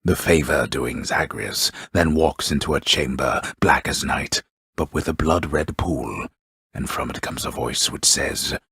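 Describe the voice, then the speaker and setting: An actor playing a grave, wry storyteller in a mythological video game, narrating in grandiose, deliberate, archaic phrases with a gravelly, husky voice. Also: male voice, rumbling low, bright, forceful tone, no echo, clean studio sound, exaggerated voice. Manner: grandiose, deliberate, drily mocking. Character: grave, wry, omniscient male storyteller of Greek myth. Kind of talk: theatrical scripted narration with archaic wording.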